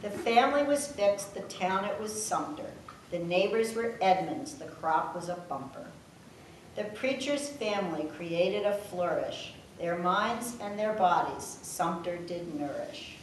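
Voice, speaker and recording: A woman's voice: fairly low pitch, lively intonation, measured pace. A mature woman reading her rhymed verse aloud to family, with casual asides. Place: room at a family gathering. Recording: echoey room, distant mic, faint noise.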